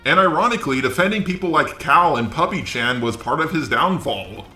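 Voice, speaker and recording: Bright high-pitched man ranting in commentary. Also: animated, fairly quick, roomy sound, faint music behind. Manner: blunt, sweary, rants with rhetorical questions. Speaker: online commentary video host. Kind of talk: opinionated drama commentary, talking to camera audience.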